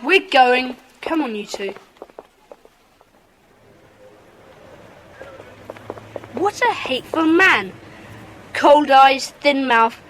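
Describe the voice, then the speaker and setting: A woman playing a decisive schoolboy club leader with a brisk, take-charge manner in a children's audio drama. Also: high-pitched, fairly bright tone, a little hiss or hum, poor audio. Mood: indignant.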